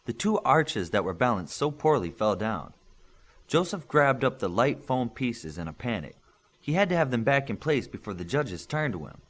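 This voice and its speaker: Expressive male audiobook narrator with lively pitch. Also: normal speed. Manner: expressive storytelling, acting out dialogue. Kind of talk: scripted narration of a children's superhero novel.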